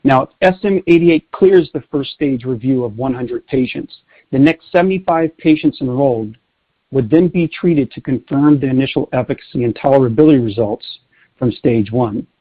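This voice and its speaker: Guy reading a scripted business update on a conference call, formal and measured, thin phone audio, mid pitch. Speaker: company executive presenter. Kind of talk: scripted corporate earnings call presentation. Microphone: telephone. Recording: tinny narrowband conference phone line.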